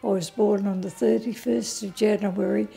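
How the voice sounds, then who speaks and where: A woman's voice: medium pitch, steady tone, moderate pace, gravelly, dark tone, soft background music. A woman, fondly recalling childhood days by the seaside. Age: 90s. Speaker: interviewee. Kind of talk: reminiscing interview.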